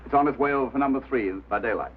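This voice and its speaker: An actor playing a brusque, impatient, skeptical mining company boss, commanding and dismissive, in mid-century film drama style. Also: male voice, medium pitch, rapid-fire, narrow, tinny audio, lightly acted. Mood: curt. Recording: thin, noisy old film soundtrack.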